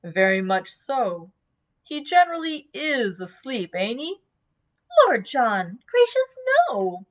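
A woman voicing a cheerful young wife who fusses and dotes, her voice going high and bright. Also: sing-song, slow pace. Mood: doting. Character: young cheerful doting wife, chattering fondly.

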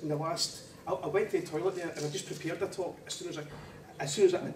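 High-voiced man with a stammer, roomy. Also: recorded from a distance. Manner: conversational, frequent "right?" and "okay" tags. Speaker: panel speaker, academic. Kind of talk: informal off-the-cuff talk to an audience. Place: small seminar room, indoors. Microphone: standing away from the mic, camera pickup. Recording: echoey room, off-mic, faint noise.

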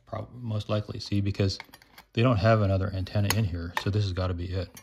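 A man's voice: on the low side, level tone, quick pace, creaky, dull audio. A man giving casual hands-on commentary, thinking aloud and explaining as he works on electronics. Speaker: host taking apart electronics. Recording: noisy hobby recording, clicks and handling.

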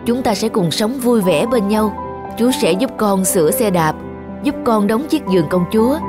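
A kind young man from a cartoon, voiced by an actor, speaking gently and earnestly. Music is clearly audible behind the voice. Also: mid-range voice, animated, normal speed, natural voice. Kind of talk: cartoon voice acting.

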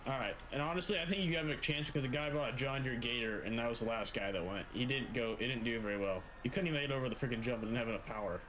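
A man's voice: mid-range voice, racing, few pauses, a bit bright, muffled recording, a little hiss or hum. A man bantering casually in slang as he improvises a gaming roleplay with another player. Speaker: gaming co-player role-playing a rival driver.

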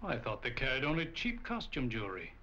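A crisp, bright older gentleman, old film. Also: mid-range voice, wildly swooping pitch, a little fast. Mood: shaken. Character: genial, well-mannered older businessman. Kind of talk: 1940s film acting. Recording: muffled, hissy 1940s film soundtrack.

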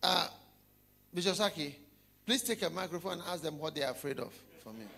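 A middle-aged man preaching a sermon to a hall audience on a handheld microphone, emphatic and repetitive, dropping to a hush then rising loud. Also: a little high, lively intonation, fairly quick, creaky, background noise. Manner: emphatic, repeats phrases, swings between hushed and loud.

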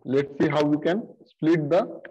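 A middle-aged man walks through code at a very slow, halting pace, filling gaps with "uh" and "okay". His voice sits fairly high for a man but sounds dark and soft. The sound is dull and lo-fi, as from a laptop on a video call. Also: breathy edge. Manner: slow, halting, many fillers and repeated "okay". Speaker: programming teacher. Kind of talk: screen-share coding lesson. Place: video call with screen share.

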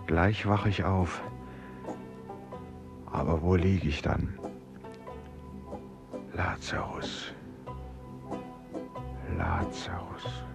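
Radio drama: a deep, haunted thinker in fragmentary musing, with music clearly behind him. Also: male voice, drawn-out words, long pauses, full character voice. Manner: fragmentary, musing, sometimes near a whisper. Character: haunted, brooding male narrator lost in thought. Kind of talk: German radio play inner monologue.

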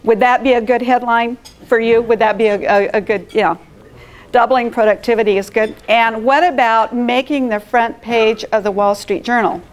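A woman's voice: fairly high pitch, steady tone, moderate pace, lo-fi sound. A middle-aged woman with a big voice presents live in a meeting room without a mic, engaging the audience with questions. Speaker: presenter at a professional chapter meeting.

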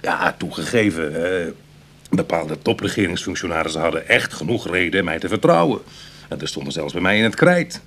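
An actor playing a charming, self-mocking ex-burglar in a Dutch radio drama, with a Netherlands accent and a wry, chatty manner. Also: male voice, low voice, expressive, a little fast, a bit bright, full character voice. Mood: wry, reflective. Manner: teasing, hesitant asides, chuckling irony.

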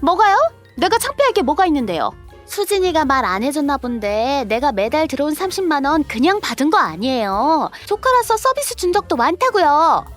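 A woman's voice: high voice, very animated, fairly quick, faint music behind. A woman playing a brazen, money-grubbing younger sister-in-law, whiny and defiant, in a scripted audio drama. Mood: indignant.

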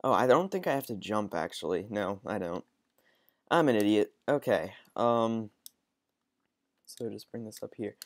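A sniffly young man with a cold, rambling through casual game commentary with frequent ums and restarts. His voice sits a bit low and sounds close and dry. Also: animated, unhurried, pauses often, no echo. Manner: rambling, hesitant, lots of ums. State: stuffy with a cold. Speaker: gaming channel host. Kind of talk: casual let's-play commentary.

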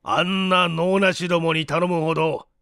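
A man voicing a crotchety old professor who scolds gruffly in old-fashioned elderly speech in an audiobook story. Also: fairly high pitch, steady tone, moderate pace, bright, forceful tone, dry recording, clean studio sound. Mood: irritable, scolding.

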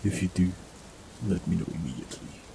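A man playing a gravelly ancient forest warlock. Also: low voice, a little fast. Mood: calm. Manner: dry, teasing humor. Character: ancient immortal male forest warlock, teasing. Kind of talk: audio roleplay acting. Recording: steady rain noise behind voice. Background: rain.

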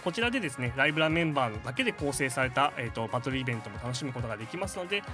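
Quick, lively man presenting game updates. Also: mid-range voice, music in the background. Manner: polite, formal, explanatory. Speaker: game operations staff member presenting updates. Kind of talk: polite scripted announcements with asides.

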